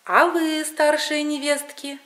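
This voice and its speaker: A woman's voice: high-pitched, level tone, slow pace, fairly bright tone, voice pushed up. A woman voicing a stern but kindly peasant father in a folk tale, with an emphatic, folksy, questioning manner. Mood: probing.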